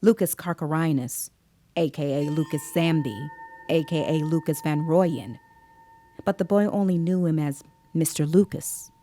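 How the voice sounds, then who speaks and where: An actress playing a composed, observant female interviewer, narrating an audio drama in a measured, precise way. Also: sits low, dark tone, slight background noise. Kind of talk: scripted first-person dramatic narration.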